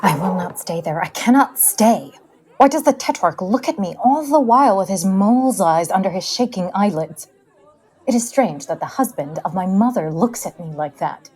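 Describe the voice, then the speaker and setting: A woman's voice: medium pitch, expressive, moderate pace, a little mellow, husky. A woman playing a troubled young princess in an audio drama, speaking with agitation, a faint crowd behind her. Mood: agitated disgust. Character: troubled young princess fleeing an unwelcome gaze.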